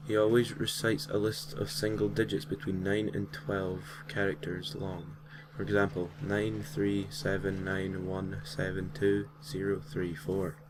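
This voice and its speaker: A man's voice: low-pitched, flat delivery, unhurried, hardly any pauses, background noise. A man narrating a gaming story in an informal way, reading from a script with casual, slightly hesitant asides. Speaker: host and narrator of a gaming creepypasta series.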